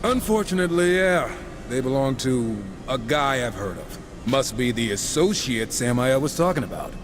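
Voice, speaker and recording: A man's voice: mid-range voice, expressive, moderate pace, noisy background, lightly acted. An actor playing a cocky, wisecracking supernatural horseman in a video game, sarcastic and casual. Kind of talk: video game cutscene acting.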